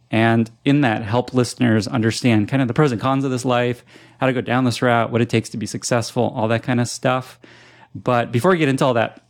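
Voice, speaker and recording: A man's voice: medium pitch, no echo, clean studio sound. A man hosting a podcast, reading scripted sponsor spots and asking his guest questions. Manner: smooth scripted ad delivery. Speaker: podcast host. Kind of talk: read ad spots and interview questions.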